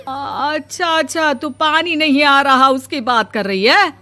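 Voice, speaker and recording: A woman's voice: sits high, unhurried, pushed and bright, soft background music, exaggerated voice. A woman playing a proud, scolding middle-aged mother-in-law in a dramatised moral story. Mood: exasperated. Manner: indignant, colloquial scolding.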